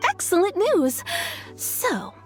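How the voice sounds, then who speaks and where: A woman's voice: extremely high pitch, very animated, slow pace, exaggerated voice. A woman voicing an obliging, polite, businesslike female shopkeeper in a video game. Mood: welcoming. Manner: polite, eager to help customers. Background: game music.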